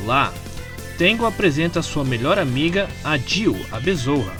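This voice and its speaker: Casting: Brazilian man, slightly high-pitched, informal story narrator for a fan comic, music underneath. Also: very animated, moderate pace. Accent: Brazilian Portuguese. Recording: music behind the voice. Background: background music.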